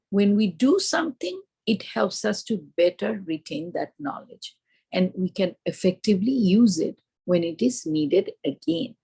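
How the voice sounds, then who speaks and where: Woman, teacher trainer, Indian accent. Explanatory delivery with a gravelly edge, often asking and answering her own questions. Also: medium pitch, a little mellow. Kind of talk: instructional presentation to teachers.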